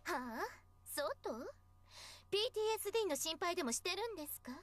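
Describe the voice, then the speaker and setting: A sharp-tongued teenage schoolgirl from a visual novel game, taunting and scornful. Her voice is high and races along with animated swings. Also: very fast, dry recording. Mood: scornful, mocking.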